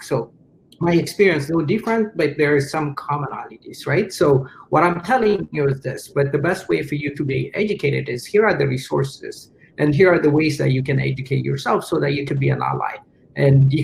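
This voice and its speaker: A man from Ethiopia speaking as a panelist in a relaxed discussion, hesitant and full of fillers and restarts. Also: a little high, moderate pace, gravelly, slight background noise, poor audio.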